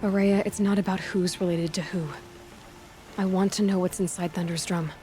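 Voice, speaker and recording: Wry woman acting a low-voiced young huntress. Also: steady tone, moderate pace, mellow, natural voice. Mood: firm. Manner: dry, sardonic. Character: wry, determined young female outlander huntress. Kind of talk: naturalistic video game voice acting.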